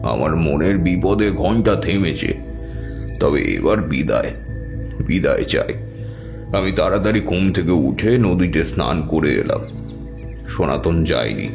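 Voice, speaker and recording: A man's voice: sits low, lively intonation, unhurried, vocal fry, long pauses. A man narrating a scripted tale dramatically in the first person as a storyteller. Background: music.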